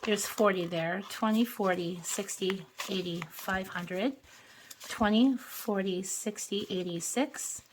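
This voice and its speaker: Slow-talking hoarse woman vlogger. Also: medium pitch, background noise. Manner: slow, chatty, counts bills aloud. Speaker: budgeting channel host. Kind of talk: casual cash-stuffing vlog.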